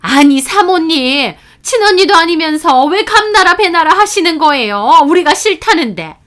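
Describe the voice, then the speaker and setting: A woman voicing a boyfriend's scornful middle-aged mother who scolds and sneers in a shrill voice. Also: lively intonation, normal speed, fairly bright tone. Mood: indignant. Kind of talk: storytelling with acted dialogue.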